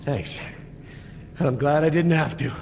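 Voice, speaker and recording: An actor voicing a calm, protective middle-aged man with an earnest manner in a video game. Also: a little high, measured pace, gravelly. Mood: calm, concerned. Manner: earnest, conciliatory. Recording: loud scary music behind voice.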